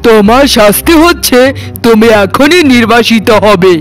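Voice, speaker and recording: Man acting a high-pitched, pompous landlord father. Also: animated, moderate pace, fairly bright tone, faint music behind. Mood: stern, angry. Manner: theatrical, pompous, declaiming. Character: proud, doting but harsh landlord father of three daughters. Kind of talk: dramatic cartoon voice acting.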